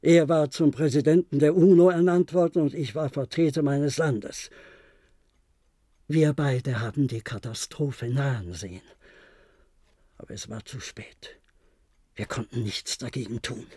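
An actor in a German radio play, playing an older, resigned former diplomat who reminisces in a measured, formal way. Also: male voice, medium pitch, warm tone, breathy edge, no echo, studio quality. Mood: grave. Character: resigned, reflective former diplomat, aging bunker survivor.

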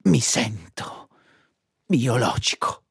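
A hapless Roman everyman in an absurd stage satire. He speaks with declamatory mock devotion and a medium-pitched voice, recorded dry with very little room. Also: big pitch swings, extremely slow, creaky, breathy edge, dry recording, heavily acted. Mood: ecstatic. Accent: Roman Italian. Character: fervent hapless young Roman everyman, absurdly devout shopper. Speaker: stage actor. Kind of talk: theatrical satirical comedy performance.

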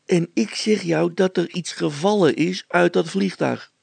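A cheeky storybook poacher boy, acted out by a man, pitched well up high. He is boastful and defiant in a colloquial way, and the sound is close and dry. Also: a little slow, vocal fry, darkish tone, slightly rough, dry recording, heavily acted. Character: cocky, mocking young poacher boy.

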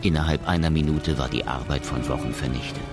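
A man narrating a science fiction radio drama in a measured, matter-of-fact way. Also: extremely low pitch, music in the background. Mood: grave.

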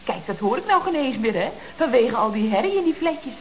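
An actress playing a chatty, mock-innocent office secretary in a Dutch radio comedy, with a chirpy, expressive delivery. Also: female voice, fairly high pitch, a little fast. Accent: Dutch from the Netherlands. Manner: chirpy, expressive, chatty with frequent tag questions. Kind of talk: 1970s radio comedy acting. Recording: dull, noisy old radio recording.

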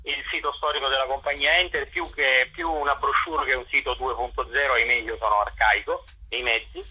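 Forceful, bright-voiced man, slightly raspy. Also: mid-range voice, steady tone, fairly quick, poor audio. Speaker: theatre director and actor, interview guest. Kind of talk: radio interview, explaining and promoting work.

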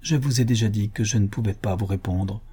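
A man's voice: on the low side, brisk, dark tone, slightly rough. A man reading the part of a guilt-ridden young man who speaks in a muffled, harsh, curt way. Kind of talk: audiobook character reading.

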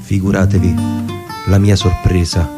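A man narrating a literary story as a radio performance, with deliberate, expressive, theatrical phrasing. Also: deep voice. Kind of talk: dramatic literary narration over music. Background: guitar music.

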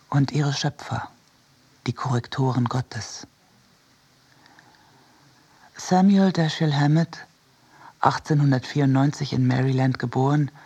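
A man reading as a reflective, wry essayist in a measured, precise literary radio narration. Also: medium pitch, a little mellow. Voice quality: husky, creaky. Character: reflective essayist and cinema-goer, wryly critical.

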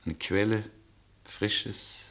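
A man's voice: fairly low pitch, fairly even intonation, very slow, fairly bright tone, a little hiss or hum, full character voice. An actor playing a weary, romantic male traveller in a poetic radio play, earnest and lyrical in his delivery. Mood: tender, yearning.

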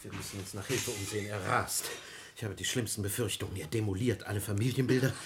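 A man's voice: on the low side, fairly even intonation, fast talker, very noisy, natural voice. An actor playing an alarmed man of the household in a classic German radio drama, urgent and agitated. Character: alarmed man of the household bringing dire news.

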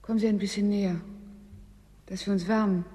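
A woman's voice: medium pitch, fairly even intonation, a little fast, warm tone, lightly acted. Actress as anxious woman in cavernous reverb. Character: anxious woman trapped under ice, confessing. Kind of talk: radio drama acting.

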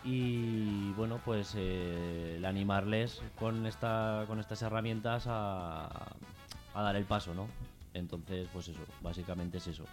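A man with a fairly low voice and a Spanish accent from Spain talks as an interview guest. He is hesitant and rambling, often repeating words as he explains. Light music is faintly present. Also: steady tone, a little slow. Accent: Peninsular Spanish. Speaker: activist guest on a radio programme. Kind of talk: informal spoken interview.

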